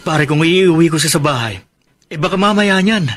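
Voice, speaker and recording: An actor playing a protective, stubborn young husband in a Filipino radio drama, speaking earnestly and firmly. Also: male voice, on the high side, normal speed, fairly bright tone. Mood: relieved, guarded. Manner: earnest, firm.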